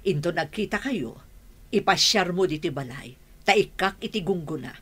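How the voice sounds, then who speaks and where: Worried mother, radio drama, lowish husky voice, expressive pitch, pleading, caring. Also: normal speed. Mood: motherly probing. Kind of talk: Ilocano radio drama acting.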